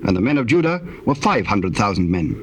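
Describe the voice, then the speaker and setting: A man narrating dramatized scripture in a measured, formal manner. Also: on the low side, big pitch swings. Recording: faintly noisy old cassette tape.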